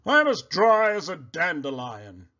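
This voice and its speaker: A man voicing an excitable, fretful male pig in a children's read-aloud, in a loud, dramatic, exclamatory voice. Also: on the high side, measured pace, lo-fi sound, pitched above the performer's own voice, heavily acted. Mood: glum, dry.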